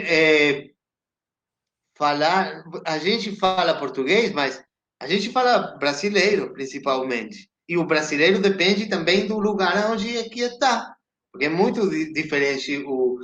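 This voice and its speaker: Man, Chilean Spanish accent, native Spanish speaker, reflective, restarts often, slightly high voice, echoey room. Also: fairly bright tone. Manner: reflective, halting with many restarts. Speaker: guest actor and theater director. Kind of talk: casual interview chat. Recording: roomy echo.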